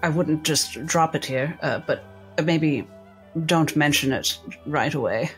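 A woman voicing a shrewd, imperious lady doctor with a genteel, measured way of talking in a tabletop game. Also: low-pitched, soft background music. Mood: shrewd, composed.

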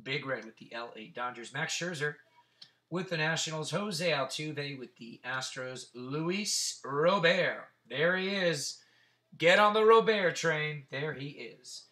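A man with a raspy, sore throat hosting a casual card-breaking stream, chatting and swearing as he reels off player names. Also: fairly high pitch, lively intonation, a little slow, sharp tone. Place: tabletop card-breaking setup indoors.

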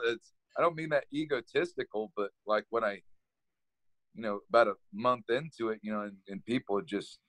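A man from Kansas in his thirties with a deep voice, telling his story in a relaxed, casual way during an interview over a video call. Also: dry recording, low-quality recording. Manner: relaxed storytelling, laughs often, repeats words when starting. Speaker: environmental educator guest. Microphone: webcam on a video call.

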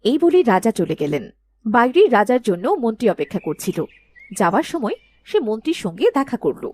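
A woman's voice: fairly high pitch, big pitch swings, moderate pace, darkish tone. A woman narrating a cartoon fairy tale in a scripted storytelling style.

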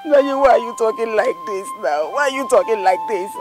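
High-voiced anguished Nigerian mother woman acting. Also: lively intonation, a little fast, music in the background, close to an everyday voice. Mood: pleading protest. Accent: Nigerian, Igbo. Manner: wailing, repetitive pleading. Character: anguished, pleading Igbo mother and wife, fiercely protective. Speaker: actor. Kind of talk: film drama acting.

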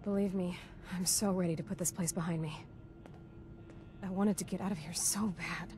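Woman acting a yearning vault dweller, rumbling noise. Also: steady tone, normal speed, warm tone, natural voice. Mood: eager, wistful. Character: young woman itching to leave an underground vault. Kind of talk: video game dialogue acting.

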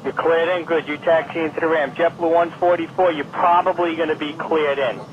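A man working as a ground controller, giving brisk, exasperated, wisecracking instructions to pilots over the radio. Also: fairly high pitch, fairly even intonation, highs cut off. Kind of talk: air traffic control radio. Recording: noisy radio transmission.

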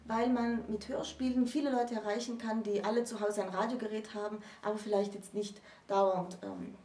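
Mellow woman lecturing in a roomy space. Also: a little high. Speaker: co-presenter explaining literary history. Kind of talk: conversational lecture. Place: small indoor room with bookshelves. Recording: roomy echo, distant microphone.

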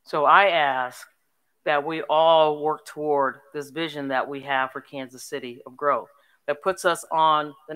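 Older female commencement speaker, forceful and resonant, earnest delivery, emphasising key phrases at a podium. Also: deep voice, clean tone. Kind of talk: formal inspirational public speech. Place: on stage in a large hall at a graduation ceremony. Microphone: podium microphone.

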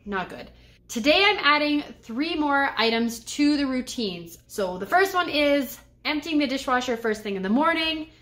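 A woman in her thirties chats to the camera from her bedroom at home, upbeat and encouraging. Her voice sits a little high. She is picked up by the camera mic at close range. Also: a bit bright. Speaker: home cleaning vlogger. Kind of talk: casual chat to camera and voice-over.

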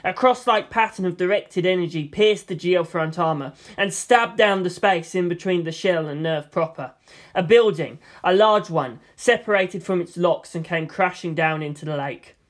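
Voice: medium pitch, moderate pace. A narrator reading a story aloud in a dramatic, scripted style. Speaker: audiobook narrator.

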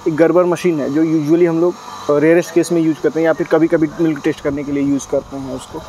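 A man's voice: fairly high pitch, level tone, fast, warm tone, slight background noise, low-quality recording. A man in his thirties, outdoors at night beside a delivery van, explaining a dairy business at length with frequent "uh" fillers and English business terms. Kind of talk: casual interview answers.

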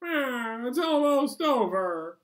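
A man voicing an excitable, whiny moose puppet in a children's puppet show. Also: extremely high pitch, slow, pitched above the performer's own voice, exaggerated voice. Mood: impatient, upset.

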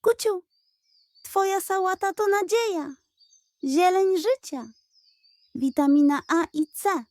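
A woman's voice: very high voice, lively intonation, slow pace, a little mellow, no echo, voice pushed up, exaggerated voice. A woman voicing a pompous, theatrical male pug who gushes grandly about food in a children's bedtime story. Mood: rapturous. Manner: grandiose, proclaiming, effusive.

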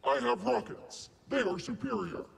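A literal-minded killer robot for a video game. The voice is high-set and heavily crackling with gravel, processed into a mechanical deadpan. Stiff, clipped phrases carry cold superiority. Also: male voice, moderate pace, full character voice. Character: literal, arrogant, ruthless heavy combat droid.

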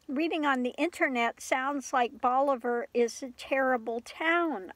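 An older woman chatting casually into her phone inside a camper, stuffed up with a cold and clearing her throat often. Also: high-pitched, no echo, poor audio. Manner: rambling, frequent throat clearing and soft laughs. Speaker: vlogger. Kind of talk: casual chat to camera. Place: inside a small camper van at night. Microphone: phone camera at arm's length.

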